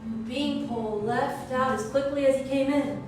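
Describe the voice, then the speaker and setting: Female one-woman-show storyteller, dramatic and loosely improvised, high voice, distant from the mic with music behind. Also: very echoey. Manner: expressive, dramatic storytelling with drawn-out words. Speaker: solo stage performer. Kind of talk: theatrical autobiographical monologue, loosely improvised.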